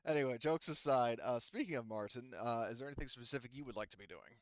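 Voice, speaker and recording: A man's voice: medium pitch, quick pace, dry recording, lo-fi sound. A man working as a game master, narrating and explaining a tabletop roleplaying game in a rambling, informal way.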